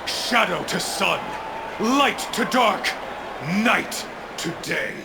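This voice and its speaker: An actor playing a grandiose, bloodthirsty sun-cult priest in a video game, preaching in a pompous, declamatory way. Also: male voice, high-pitched, animated, slow pace, creaky, noisy background, exaggerated voice. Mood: exultant. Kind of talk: theatrical game cutscene oratory. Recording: loud music behind. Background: loud music.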